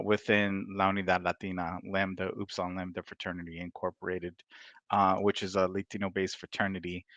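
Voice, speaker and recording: Casting note: male veteran from Rhode Island, low voice, laid-back storyteller, dry mic. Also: dry recording, lo-fi sound. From Rhode Island, United States. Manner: relaxed storyteller with many fillers. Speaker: podcast guest, military veteran. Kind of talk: casual conversation.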